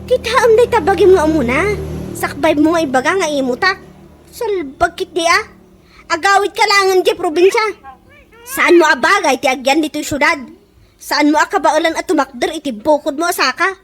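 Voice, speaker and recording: A woman's voice: extremely high pitch, normal speed, a bit bright. A woman playing a fed-up, indignant friend in an Ilocano comedy radio drama, speaking emphatically with an Ilocano accent. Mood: furious, scolding. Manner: emphatic, scolding.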